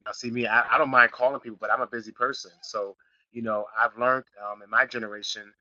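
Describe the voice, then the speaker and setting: A middle-aged man from Philadelphia hosting a live online marketing class, slightly raspy from a sniffle, repeating himself and building to emphatic points. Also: medium pitch, steady tone, fast talker, pushed and bright, no echo, lo-fi sound. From Philadelphia, Pennsylvania. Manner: repetitive, restarts phrases, builds to emphatic points. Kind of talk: live teleseminar class, casual coaching.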